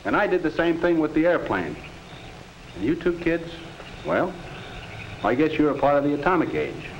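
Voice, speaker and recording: A patient, middle-aged American dad from a 1950s classroom film. His delivery is earnest and instructive, with a very lively melody. The sound is muffled, with faint hiss. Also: on the high side, moderate pace. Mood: thoughtful. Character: earnest, patient father advising his teenage children. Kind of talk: 1950s educational film acting.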